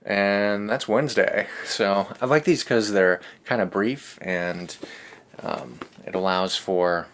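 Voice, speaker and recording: A man with a low voice hosts a read-aloud for kids. He reads in a casual, easygoing way and drops in chatty asides. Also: slow, fairly bright tone, a little hiss or hum. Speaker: host of a children's read-aloud show.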